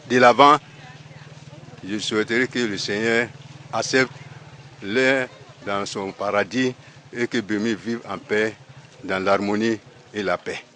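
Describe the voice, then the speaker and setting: A man's voice: medium pitch, fairly bright tone. A man with an Ivorian accent, a local trade representative, giving an appeal to a news camera outdoors. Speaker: local trade chamber president. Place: outdoors in a town. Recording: noisy outdoor urban recording.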